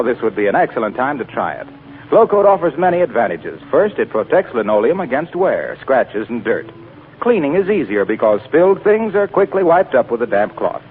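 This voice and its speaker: A man announcing a 1940s radio show, reading scripted sponsor pitches with polished, emphatic salesmanship. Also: a little hiss or hum. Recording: tinny 1940s radio broadcast.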